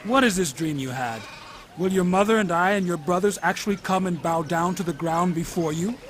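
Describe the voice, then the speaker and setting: A stern old patriarch in a Bible audio drama, a man used to giving orders. His voice sits fairly high. Sheep and goats bleat clearly behind him. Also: fairly quick, a bit bright, a little hiss or hum. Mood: rebuking. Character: stern elderly patriarch father of shepherd sons.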